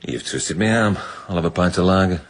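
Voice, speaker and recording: Deep, expressive English man a bitter middle-aged veteran copper, sarcastic and blunt, radio play. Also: a little fast, dry recording. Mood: sardonic. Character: gruff, sardonic veteran police superintendent, bitter male. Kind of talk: radio drama dialogue.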